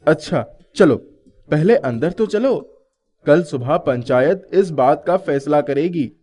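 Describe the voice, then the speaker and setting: A man's voice: on the high side, animated, takes it slowly, a little mellow. A man playing a humble, kind young herdsman who speaks earnestly in a story. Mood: concerned.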